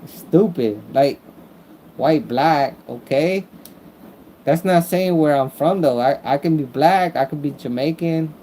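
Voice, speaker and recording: An American man gives a casual, rambling vlog monologue in a slightly high, soft-edged voice. He talks at a very unhurried pace, repeating himself and halting as he looks for the next word. A faint air-conditioning hum sits behind him. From Mexican-American, born in the United States. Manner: repetitive, halting, searching for words. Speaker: vlogger. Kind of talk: casual rambling opinion talk.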